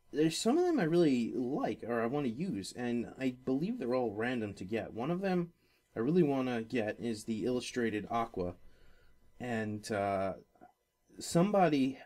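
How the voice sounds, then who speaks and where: A man hosting a casual gaming podcast, talking in a rambling, halting way that often circles back on itself. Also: mid-range voice, darkish tone. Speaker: podcast host.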